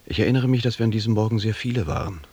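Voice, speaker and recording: An actor playing a proud, rootless captain and hardened wanderer in a German radio drama, with a gravelly, husky voice. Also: male voice, fairly low pitch, racing, darkish tone. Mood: brooding, reminiscent.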